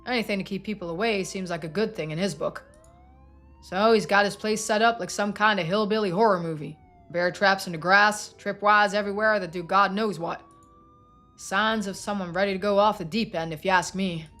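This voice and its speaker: A man's voice: high voice, fairly even intonation, fairly quick, pushed and bright. A woman voicing a stern, sardonic male police deputy. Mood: stern, exasperated.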